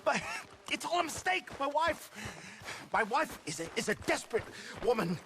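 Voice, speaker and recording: An actor playing a desperate, slippery fugitive in a western video game, whining and pleading in a panicked manner. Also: male voice, very high-pitched, sing-song, normal speed, background noise. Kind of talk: dramatic western game acting.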